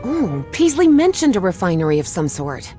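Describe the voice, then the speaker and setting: Expressive, curious young woman explorer. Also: lowish voice, moderate pace, over music. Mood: eager. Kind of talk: video game voice acting.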